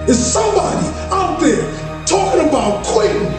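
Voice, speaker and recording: Man doing a shrill, echoing motivational speaker. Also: big pitch swings, normal speed. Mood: intense, rousing. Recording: very echoey, distant, music behind.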